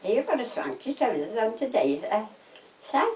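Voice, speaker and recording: A woman, getting on in years, chats in a South Wales Valleys accent. She tells gossipy anecdotes in an animated way, often acting out who said what. The room echoes and she sits some distance from the microphone. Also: a little high, moderate pace. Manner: animated anecdotes, quoting conversations, checking with "right?". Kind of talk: casual chat, gossipy storytelling. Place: indoors at home.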